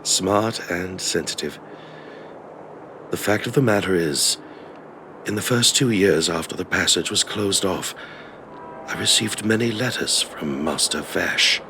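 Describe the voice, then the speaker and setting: A man's voice: low-pitched, a little slow, pauses often. A man playing a loyal, humble old family retainer in a video game, speaking in a formal, deferential, kindly way. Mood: polite, confiding. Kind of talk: video game story voice acting. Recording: music behind the voice.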